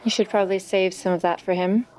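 A woman's voice: medium pitch, steady tone, fast, darkish tone, natural voice. A woman playing a guarded, protective older sister in her thirties in a period audio drama. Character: guarded, protective elder sister, thirty-two, nineteenth century.

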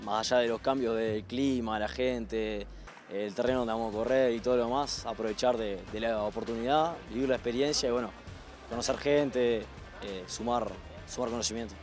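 A man giving a halting, thoughtful interview answer. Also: medium pitch, steady tone, a little mellow, faint music behind.

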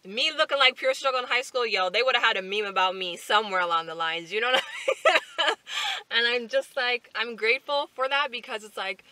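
A woman in her twenties chatting casually to camera from a parked car, animated and full of fillers and asides. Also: fairly high pitch, brisk. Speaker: vlogger. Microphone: camera on the dashboard.

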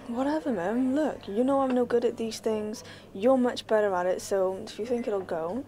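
A woman's voice: fairly high pitch, moderate pace, dark tone, natural voice. A young woman playing a frustrated grown-up daughter who argues for her independence in radio-play drama. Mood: irritated. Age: young adult. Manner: exasperated, argumentative. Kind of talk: low-key dramatic radio-play dialogue.

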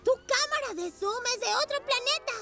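A performer voicing an excitable, goofy young dirt-bike boy. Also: very high voice, normal speed, vocal fry. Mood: amazed. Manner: exuberant, silly. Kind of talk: children's cartoon dub acting. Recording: loud music behind the voice.

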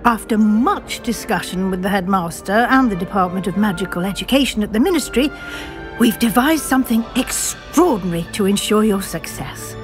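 A woman playing a brisk, formal older witch professor with a British accent, measured and articulate, kindly but firm. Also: mid-range voice, lively intonation, close to an everyday voice. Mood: brisk, encouraging. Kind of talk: scripted game dialogue. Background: background music.

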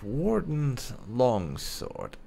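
A man with a gravelly voice giving casual playthrough commentary with some light roleplay. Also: medium pitch, huge pitch swings, extremely slow, warm tone, a little hiss or hum. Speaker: gamer playing and commentating.

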